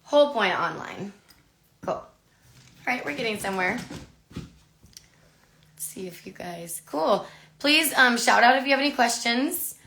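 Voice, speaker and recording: A woman in her thirties with a raspy voice, a little hoarse from a lingering cough, teaching a live online haircut in a chatty way, often adding "right?". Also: a little high, animated, measured pace, pauses often, pushed and bright, roomy sound, a little hiss or hum. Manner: chatty, filler-heavy, asks "right?" often. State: recovering from a cough, losing her voice. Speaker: hairdressing educator. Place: studio with a grey backdrop, indoors.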